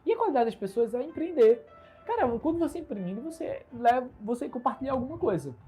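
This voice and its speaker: A man's voice: very high-pitched, sing-song, brisk, mellow, soft background music. A man in his twenties with a Recife accent, chatting casually and earnestly to his audience in a solo livestream from his bedroom. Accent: Recife Brazilian Portuguese. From Recife, Brazil. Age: 20s. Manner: earnest, upbeat, self-assured, gestures a lot. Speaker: entrepreneur hosting his own channel. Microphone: smartphone camera.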